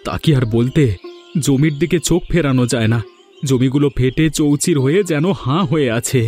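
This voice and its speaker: A man's voice: on the high side, a little fast, a little mellow, faint music behind, lightly acted. A man voicing a talkative, self-assured villager in a cartoon folk tale, conversational and emphatic. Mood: lamenting.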